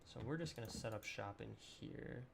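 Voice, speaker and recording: A young man with a slightly raspy, sore voice, chatting casually and rambling through a let's-play with lots of ums. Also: medium pitch, normal speed, noisy background. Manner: relaxed, rambling with many ums and throat clearing. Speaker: gaming channel host.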